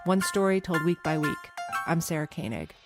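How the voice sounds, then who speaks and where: Low-voiced woman host over loud music. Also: animated, normal speed. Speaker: podcast host. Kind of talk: scripted show introduction. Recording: loud theme music, archive podcast clip.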